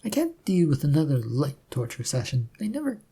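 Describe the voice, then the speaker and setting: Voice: mid-range voice, huge pitch swings, fairly quick, vocal fry, mellow, husky, exaggerated voice. A narrator voicing a sadistic male torturer in a story, chatty and mock-polite as he taunts his victim. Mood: eager, impatient.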